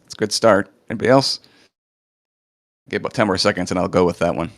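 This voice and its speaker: A man with a lowish, slightly hoarse voice walks through a live coding demo, talking loosely with plenty of fillers. The sound is dry and close, with no room echo. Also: fast. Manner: casual, chatty, lots of fillers. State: a bit hoarse, recovering from illness. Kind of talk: live screen-share walkthrough.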